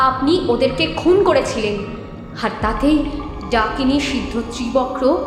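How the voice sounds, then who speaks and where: A woman playing a vengeful, mocking accuser in a horror audio drama, taunting and menacing in a theatrical way. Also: a little high. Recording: heavy echo and reverb, music behind.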